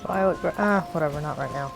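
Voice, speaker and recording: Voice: medium pitch, fast, gravelly, over music. A player giving casual gameplay commentary, muttering to themselves while playing. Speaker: gaming video creator.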